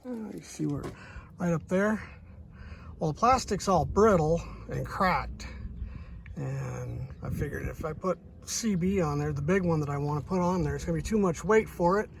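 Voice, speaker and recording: Middle-aged man, slightly high gravelly voice, halting casual DIY vlog, plain-spoken, noisy vehicle ambience. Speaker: truck driver, DIY vlogger.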